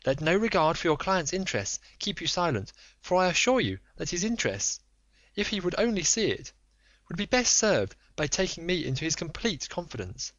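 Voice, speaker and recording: A man's voice: high-pitched, very animated, normal speed, fairly bright tone, dry recording, pitched above the performer's own voice. A man voicing an anxious noble Victorian lady who pleads in formal, earnest speech. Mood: pleading. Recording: dull lo-fi dry recording.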